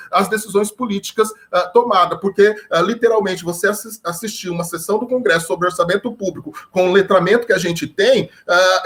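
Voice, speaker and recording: A man's voice: high voice, fairly bright tone. A man who teaches history, speaking as a guest and explaining a point earnestly with rhetorical questions. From Brazil. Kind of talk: explanatory talk.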